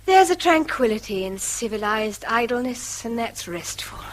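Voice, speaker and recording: Actress as high-voiced, emphatic English wife. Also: female voice, animated, normal speed, a little hiss or hum, close to an everyday voice, low-quality recording. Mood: wistful, weary. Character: weary wife Mary, wistful, quick to defy her husband. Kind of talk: British radio drama acting.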